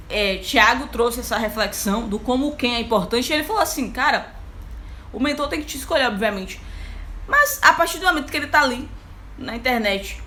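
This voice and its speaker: A young Brazilian woman, an engineer, talking casually to her online audience as a mentor, lively and chatty with many fillers. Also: a little high, a little hiss or hum. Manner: lively, chatty, frequent fillers like "então, assim". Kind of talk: casual live talk to an audience.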